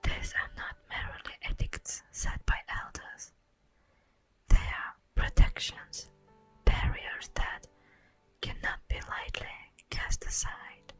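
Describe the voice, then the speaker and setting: A woman voicing an ancient, tender and wistful elf in a breathy whispered ASMR fantasy roleplay, speaking solemnly and poetically with long pauses. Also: a bit bright. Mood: sorrowful, confiding. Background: music.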